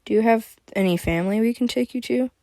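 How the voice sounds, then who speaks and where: A woman voicing a kind, playful, protective female friend in a storybook, in a gentle, teasing manner. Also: a little high, fast, no room sound, pitched above the performer's own voice, lightly acted. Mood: gentle, caring.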